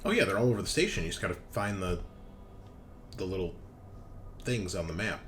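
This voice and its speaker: A man's voice: deep voice, sing-song, fairly quick, fairly bright tone, soft background music. A man working as game master, narrating a tabletop roleplaying game in a casual, improvised way. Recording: clearly noisy background.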